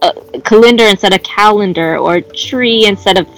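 A Canadian woman talking in a relaxed podcast chat. She speaks with feeling and often stops and restarts mid-sentence as she searches for the right words. Faint music sits low behind her voice. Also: normal speed, rough recording. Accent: Canadian English. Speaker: guest. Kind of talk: casual podcast conversation.

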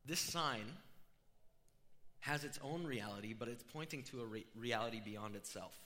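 Bright-voiced male preacher in a reverberant hall. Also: medium pitch, normal speed. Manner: informal, storytelling, explaining step by step. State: rough voice from being unwell. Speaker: pastor preaching a sermon. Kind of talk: conversational sermon. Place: large room, amplified before a congregation.

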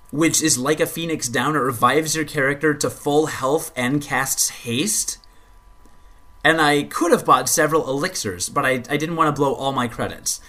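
A man's voice: on the high side, pushed and bright. A man co-hosting a casual gaming podcast, rambling and stammering with repeated words, and swearing when annoyed. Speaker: podcast co-host.

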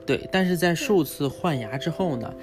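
A man's voice: a little high, animated, normal speed. A man, a father, explains things step by step to his young child in casual talk, often checking in with little questions. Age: adult, father of a young child. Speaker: father and host teaching his child about animals. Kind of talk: casual educational chat with a child, explaining from a book. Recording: music behind the voice.

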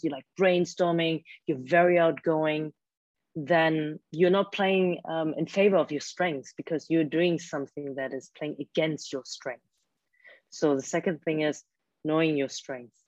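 A woman's voice: deep voice, steady tone, a little slow, darkish tone, dry recording. German-native woman coaching on careers. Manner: earnest, repeats words when restarting. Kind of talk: interview guest explaining advice. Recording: dull, dry lo-fi sound.

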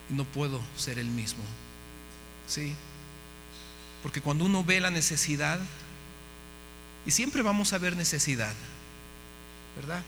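A man gives a fervent preacher's testimony in a fairly high, bright voice. He keeps turning to his listeners with questions. The sound carries a long hall reverb over a faint electrical hum. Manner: impassioned, asks the audience questions. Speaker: missionary preacher. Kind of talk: testimony sermon to a congregation.

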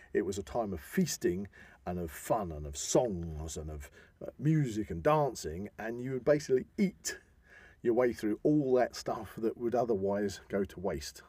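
A man's voice: on the low side, mellow, husky, no echo. A man with an English accent hosting a casual podcast, talking in a discursive, explanatory way. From England. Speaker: podcast host.

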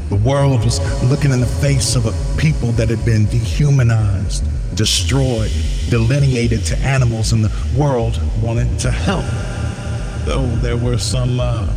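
A man delivering a scripted dramatic monologue, with ominous repeated refrains and pointed rhetorical questions to the listener. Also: mid-range voice. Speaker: narrator and host of an audio drama feed. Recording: long reverb, clearly noisy ambient bed.

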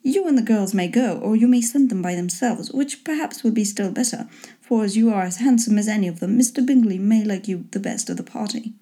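A woman voicing a dry, teasing middle-aged husband and father in a storybook reading, with a deadpan, sardonic manner. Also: mid-range voice, fairly quick, lightly acted. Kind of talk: storybook character voice in an audiobook reading.

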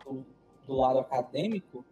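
A man's voice: mid-range voice, lively intonation, moderate pace, warm tone, faint music behind, poor audio. A young man, co-hosting a casual podcast chat and explaining things in loose, meandering sentences with many restarts. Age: young adult. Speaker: co-host.

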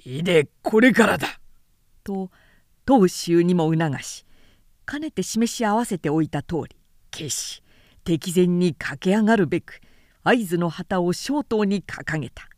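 Slow, expressive woman narrating a historical novel. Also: on the low side, no echo, clean studio sound. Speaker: narrator reading literature aloud.

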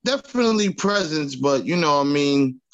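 A man's voice: on the high side, expressive, normal speed, fairly bright tone. A man from Long Island, talking loosely as a rapper guest in an interview, full of casual fillers. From Long Island, New York. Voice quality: raspy. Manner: loose and chatty, full of "you know what I mean" fillers. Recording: dull lo-fi sound.

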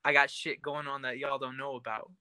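A man's voice: mid-range voice, little pitch movement, fairly quick, sharp tone, clean tone, no echo, clean studio sound. A young man co-hosting a podcast, talking about television in an informal, conversational way. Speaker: podcast co-host. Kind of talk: casual TV discussion.

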